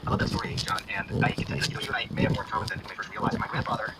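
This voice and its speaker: A man's voice: lowish voice, flat delivery, very fast, distant mic. A man hosting a podcast interview in a conversational way, thinking aloud with fillers as he goes. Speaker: podcast host interviewing a guest. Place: outdoors on a city walk. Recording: podcast playing aloud, picked up from afar over loud street noise.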